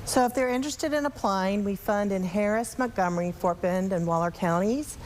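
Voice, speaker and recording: A woman interview guest with a medium-pitched voice explains programs like a practiced executive. Her delivery is articulate and orderly, with faint ambience behind it. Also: moderate pace, a little mellow, slight background noise. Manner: articulate, organized, polished. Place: TV studio.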